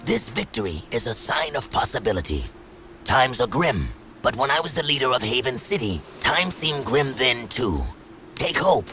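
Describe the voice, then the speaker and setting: A man's voice: medium pitch, big pitch swings, normal speed, natural voice. An actor playing a proud, wise exiled desert king in a video game, speaking like an orator. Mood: stately encouragement. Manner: stately, oratorical. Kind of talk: dramatic video game acting. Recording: music behind the voice. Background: music.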